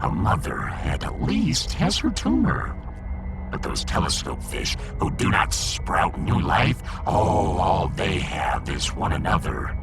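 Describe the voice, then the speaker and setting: A man's voice: low-pitched, very animated, slow pace, over music, heavily acted. A man voicing a pompous, gloomy little alien fish emperor in a sci-fi audiodrama, theatrical, long-winded and imperious. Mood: mournful, theatrical. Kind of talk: sci-fi audiodrama character acting.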